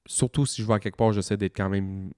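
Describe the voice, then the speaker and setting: Slangy Québécois man, low and dry. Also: fairly even intonation, quick pace, dark tone, no echo. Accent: Québécois French. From Quebec. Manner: slangy, laughs often, trails off with "là" and "man". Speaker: podcast co-host. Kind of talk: casual chat.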